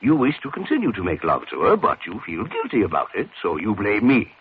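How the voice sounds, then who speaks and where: An actor playing an older psychoanalyst in a 1940s radio drama, lecturing and probing in a halting, excitable way. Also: male voice, fairly low pitch. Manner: didactic, halting, swings into excitement. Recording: scratchy, muffled 1940s radio recording.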